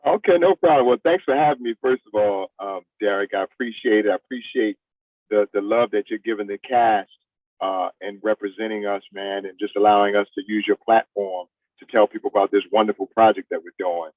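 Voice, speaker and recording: A middle-aged man from the United States talks casually as an interview guest. His speech is colloquial and conversational, at a middling pitch. He comes through small and phone-like, a little away from the mic, with very little room sound. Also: brisk, no room sound, far from the mic. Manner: colloquial, addresses listener as "man".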